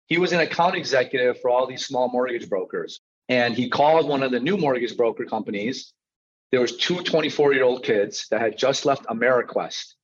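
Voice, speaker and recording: A man in his thirties speaks as a podcast guest, with a mid-pitched voice and a conversational, storytelling delivery. He comes from Chicago, and his audio is a little dull and lo-fi. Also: a little fast. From Chicago, United States. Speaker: podcast guest, mortgage branch manager and coach.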